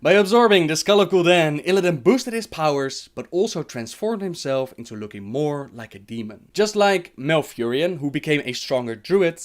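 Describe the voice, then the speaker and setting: A man's voice: on the high side, lively intonation, normal speed, few pauses, faint music behind. A man narrating fantasy game lore from a script.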